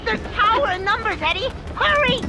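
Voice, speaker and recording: A woman's voice: very high-pitched, expressive, brisk, heavy background noise. An actress playing a prim, opinionated woman soldier in short video game lines. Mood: urgent. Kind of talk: video game character barks.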